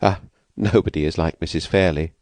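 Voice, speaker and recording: A man voicing a frightened, fragile young woman who has escaped from an asylum, speaking softly and hesitantly. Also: deep voice, fairly quick, no room sound. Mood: fearful, wistful. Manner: hesitant, vacant, soft-spoken.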